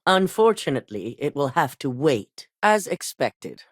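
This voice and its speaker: An actress voicing a composed, commanding corporate executive woman in a video game, speaking in a measured, formal, authoritative way. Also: sits low, sing-song, no room sound, studio quality, exaggerated voice. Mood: calm. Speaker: corporate executive giving assignments.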